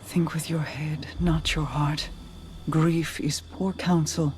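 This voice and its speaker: An actor playing an ancient, wise, sorrowful immortal counselor in a video game cutscene. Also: male voice, fairly high pitch, fairly even intonation, slow pace, a little mellow, a little hiss or hum. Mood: solemn, concerned. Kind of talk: cinematic video game acting.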